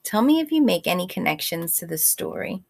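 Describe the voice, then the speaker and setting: A woman reading a picture book aloud like a teacher, in simple child-directed speech, pausing to ask questions and explain words. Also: on the low side, sing-song, vocal fry, darkish tone. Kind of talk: read-aloud storytime with asides and questions.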